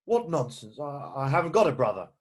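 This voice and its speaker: A man playing an exasperated, hesitant Victorian gentleman in an amateur play read-through. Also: medium pitch, very animated, a little fast. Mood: indignant. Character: exasperated, indignant Victorian gentleman, guardian of a young woman.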